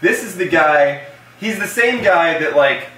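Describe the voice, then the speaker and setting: A young American man with a fairly high voice for a man. He chats casually as a co-host, halting and joking in colloquial phrases. The room echoes around him, and he sits a bit away from the mic. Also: animated, bright, forceful tone, far from the mic. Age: young adult. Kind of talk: casual banter to camera. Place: small indoor room with hard table. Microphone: camera a few feet away.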